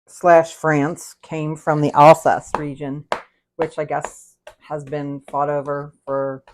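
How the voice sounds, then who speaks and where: A woman in her sixties with an American accent, chatting casually in a candid, meandering way, often interrupting herself as she hosts a cooking livestream. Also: low voice. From United States. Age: 60s. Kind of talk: casual rambling livestream chat while cooking.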